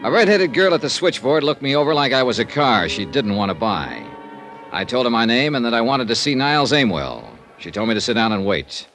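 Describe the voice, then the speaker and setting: An American man playing a brisk, wry, hardboiled insurance investigator in a 1950s radio detective drama. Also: mid-range voice, big pitch swings, fairly bright tone. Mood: matter-of-fact, wry. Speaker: lead actor and narrator of a radio detective serial. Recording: vintage radio recording with faint music.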